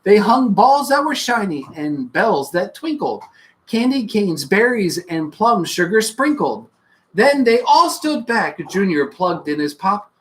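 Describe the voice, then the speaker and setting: Bedtime story host: expressive, playful reader for kids, highly varied intonation, casual asides. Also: unhurried. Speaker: host reading bedtime stories. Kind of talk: animated children's storybook reading with casual asides.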